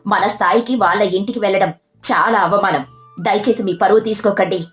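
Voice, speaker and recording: A woman's voice: medium pitch, fairly even intonation, brisk, faint music behind, close to an everyday voice. A woman voicing a proud, snobbish wife of a village headman in a story, haughty and complaining. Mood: scornful.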